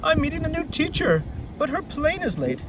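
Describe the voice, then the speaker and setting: A man's voice: extremely high pitch, wildly swooping pitch, moderate pace, distant mic, voice pushed up, heavily acted. A man voicing an exasperated lady employer in a children's storybook read-aloud. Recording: noisy outdoor recording.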